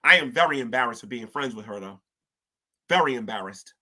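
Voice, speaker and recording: A man's voice: medium pitch, expressive, brisk, bright, forceful tone. A man giving casual, rambling commentary to camera, slangy and preachy, often talking about faith and spiritual warfare. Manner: rambling, colloquial with "ain't", many restarts; preachy, talks of gospel and spiritual war. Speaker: online commentator. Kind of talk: casual self-reflective commentary.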